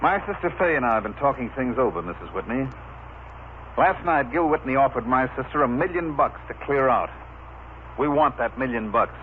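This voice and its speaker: Actor playing an insolent grifter, thin old-radio sound. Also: male voice, medium pitch, lively intonation, normal speed, narrow, tinny audio, slight background noise, natural voice. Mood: demanding. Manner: sneering, slangy, threatening. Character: insolent, wormy small-time grifter, a chiseling brother. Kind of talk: old-time radio soap opera acting.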